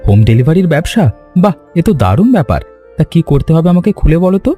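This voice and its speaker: A man voicing an eager, obliging young village man in animated cartoon dialogue. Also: fairly high pitch, fast talker, faint music behind.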